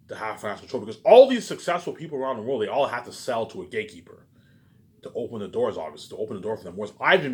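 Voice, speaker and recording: A man with a fairly low voice speaks very quickly in a rambling, off-the-cuff monologue to his viewers. He rants with plenty of profanity, circles back over the same phrases, and keeps adding short verbal tags to his sentences. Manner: repetitive, with frequent verbal tags; profane, ranting. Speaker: vlogger.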